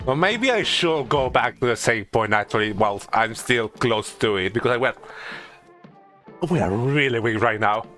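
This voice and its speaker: A male streamer talks casually through a video game with a bright, forward voice at an average pitch. He thinks aloud in a halting way, often doubling back on his phrases, with faint music underneath. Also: expressive. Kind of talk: casual gameplay commentary.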